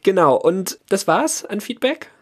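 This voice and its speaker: A man's voice: high voice, big pitch swings, a little slow, breathy edge. A man co-hosting a science podcast, chatting casually with hesitant fillers and a cold. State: has a cold. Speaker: podcast co-host.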